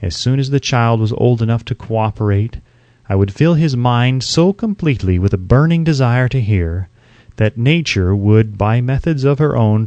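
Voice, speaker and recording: A man narrates an audiobook with a medium-pitched voice that moves widely in pitch. His reading is measured and emphatic, like formal oratory. The sound is very dry, with no room around it. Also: a little mellow, no room sound, highs cut off.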